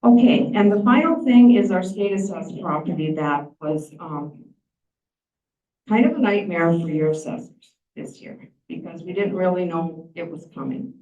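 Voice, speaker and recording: A woman's voice: on the low side, lively intonation, normal speed, mellow. A woman giving a plain-spoken, explanatory presentation at a meeting. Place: large meeting room. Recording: echoey room, distant mic, dull sound.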